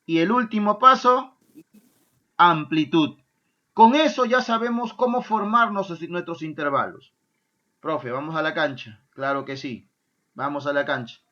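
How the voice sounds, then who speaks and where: A male math teacher gives a lively class. He keeps checking in with his students. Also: on the high side, measured pace, dull audio. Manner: lively, asks "¿ya?" and "¿correcto?" often, answers his own imagined student questions. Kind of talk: online math lesson.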